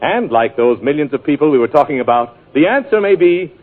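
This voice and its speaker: A man's voice: a little high, sing-song, brisk, narrow, tinny audio, music in the background. A man announcing a 1940s radio advertisement in an emphatic, selling manner. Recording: thin 1940s radio broadcast.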